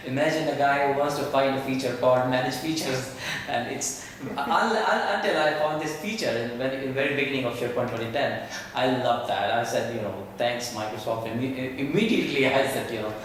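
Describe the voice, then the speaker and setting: A man's voice: medium pitch, a little fast, hardly any pauses. A man hosting a casual tech talk, asking questions eagerly and often repeating his words. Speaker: show host. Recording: echoey room, mic far off, faint hiss.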